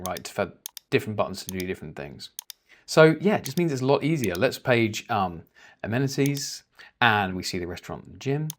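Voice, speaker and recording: A man working as a software trainer, explaining each step informally in a conversational screen-share tutorial. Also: on the low side.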